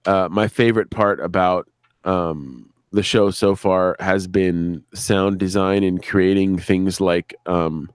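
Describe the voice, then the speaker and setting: Casual male podcast editor, low pitch, close dry sound, loose rambling delivery with repeated phrases. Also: steady tone, slow, clear, resonant tone, no room sound. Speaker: sound designer and editor for an audio drama. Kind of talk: casual conversation on a live stream.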